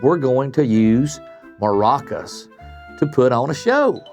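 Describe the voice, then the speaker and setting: A cheerful, kind young schoolgirl in a storybook, acted by a man reading aloud. The delivery is gentle and enthusiastic, with very animated pitch and some creak over soft music. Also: takes it slowly. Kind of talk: children's storybook read-aloud.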